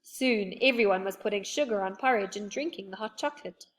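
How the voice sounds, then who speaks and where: A woman reads a children's storybook aloud in a soft, expressive way. She keeps the narration gentle and even between the characters' voices. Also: mid-range voice, a little fast. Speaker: storybook reader. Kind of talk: reading a children's book aloud.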